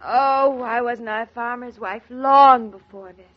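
An affectionate, playful young American newlywed wife played by an actress in a vintage radio drama. Her voice sits fairly high as she teases and fusses over her husband. The old transcription sounds muffled. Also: fairly even intonation, measured pace, close to an everyday voice. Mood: wistful, affectionate.

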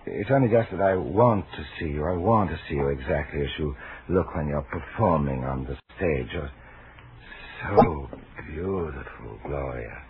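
An actor playing an obsessive, lonely, menacing admirer in a 1940s radio thriller, speaking in a halting, repetitive, intense way. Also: male voice, rumbling low, animated. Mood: pleading, adoring. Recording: scratchy, narrow-band 1940s radio recording.

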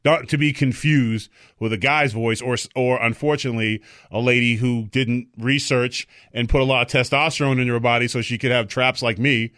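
A man co-hosting a talk show in loose, joking banter. He rambles through his asides and now and then bursts out loud with excitement. His voice sits at a middling pitch and sounds dry and close to the mic. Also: moderate pace, dry recording. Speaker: co-host.

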